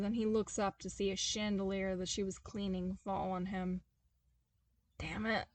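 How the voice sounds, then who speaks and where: A woman narrating a story aloud with a middle-pitched voice, lively and expressive, jumping into exasperated comic outbursts. The recording is close and dry, with no room sound. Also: no room sound. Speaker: podfic reader. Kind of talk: expressive fan fiction audiobook narration.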